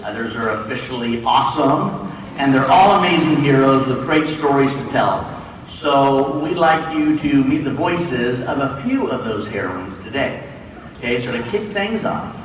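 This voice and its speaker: A man's voice: medium pitch, distant mic. A middle-aged man hosting a panel, presenting guests from a stage in a large hall. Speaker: panel host. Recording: echoey hall, loud crowd and noise.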